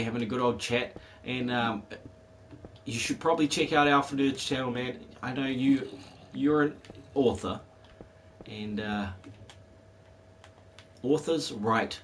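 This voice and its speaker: A man's voice: mid-range voice, slow, long pauses, a bit bright, a little hiss or hum. A man in his forties chatting casually while streaming a game, rambling and laughing easily, his voice still a bit worn from a cold. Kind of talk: casual chat while gaming.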